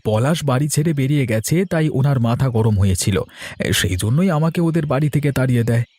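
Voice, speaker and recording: A man's voice: medium pitch, lively intonation, fairly quick, darkish tone, breathy edge, no echo. A man playing a sympathetic, moralising village man in a cartoon folk tale. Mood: troubled.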